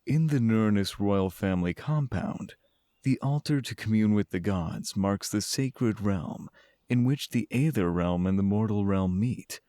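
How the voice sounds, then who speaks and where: A man's voice: on the low side, unhurried, warm tone, no echo, clean studio sound. A man giving scripted narration for a fantasy audio drama.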